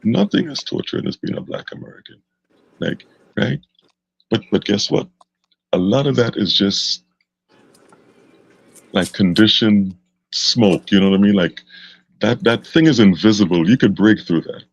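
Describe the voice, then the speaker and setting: Podcast co-host, male, husky low voice, lo-fi recording, animated pushback. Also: normal speed, long pauses. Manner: animated, pushes back, motivational. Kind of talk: live podcast debate. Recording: dull lo-fi sound.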